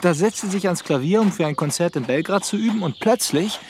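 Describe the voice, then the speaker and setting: A man's voice: high voice, lively intonation, brisk, a little mellow, a little hiss or hum. A man playing a courteous, rather self-satisfied police investigator in a radio play, who also narrates his own exploits. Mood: earnest, curious. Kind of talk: radio drama acting and narration.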